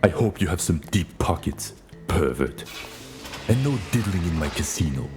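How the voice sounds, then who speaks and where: Man as casino gatekeeper, stern, scornful, deep voice, audio drama, music behind. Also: expressive, normal speed. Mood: scornful warning. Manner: contemptuous, stern. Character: disdainful, menacing male casino gatekeeper. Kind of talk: amateur audio drama acting.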